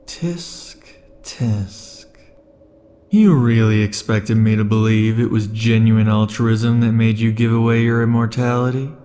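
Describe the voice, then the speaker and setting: A man voicing an obsessive, possessive immortal lover in an ASMR roleplay, speaking softly and slowly with dark chuckles, shifting between tenderness and threats. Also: on the low side, natural voice. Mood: cold threatening.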